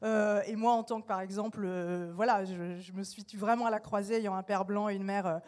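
A woman's voice: medium pitch, fairly even intonation, a little fast, darkish tone. A woman artist speaking on a panel discussion, hesitant with many fillers but emphatic in her points.

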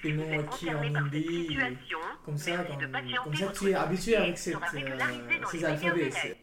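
A man from India, speaking French as an in casual chat, often pausing to search for words. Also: a little high, fairly even intonation, loud music behind the voice. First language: not French. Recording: roomy echo.

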